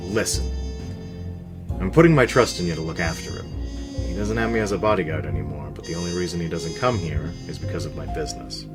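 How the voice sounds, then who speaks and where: A man playing a teasing, rough-talking gangster club boss in a 1920s radio play. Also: deep voice, quick pace, natural voice. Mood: gruff, earnest. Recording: music playing behind the voice.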